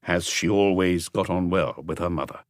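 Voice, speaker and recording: A man voicing a male chief inspector in an audiobook, terse and deliberate as he puts probing questions. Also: deep voice, no echo, studio quality, pitched below the performer's own voice, natural voice. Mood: measured, probing. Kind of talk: audiobook character dialogue.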